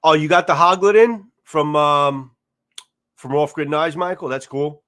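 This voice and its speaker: A man's voice: a little high, unhurried, a bit bright. A man with a New York Italian-American accent hosting a casual livestream, rambling through viewer comments with repeated words and casual swearing. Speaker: livestream host. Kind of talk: casual live chat reading comments. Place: home, seated in a chair.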